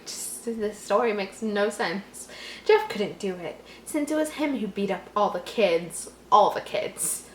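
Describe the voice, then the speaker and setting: A woman's voice: mid-range voice, a little slow. A woman reading a horror story aloud and breaking in with sarcastic, exasperated commentary. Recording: roomy echo, faint background noise.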